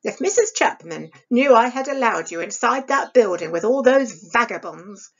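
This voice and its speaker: A woman's voice: on the high side, sing-song, moderate pace, husky, dull audio, pitched above the performer's own voice, exaggerated voice. A woman voicing a stern, bitter stepmother in a storybook reading, harsh, reproachful and emphatic. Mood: scolding.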